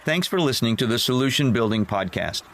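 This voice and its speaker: A man's voice: mid-range voice, lively intonation, fast, a bit bright, a little hiss or hum. An older man hosting a podcast, reading a scripted introduction and sign-off. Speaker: podcast host.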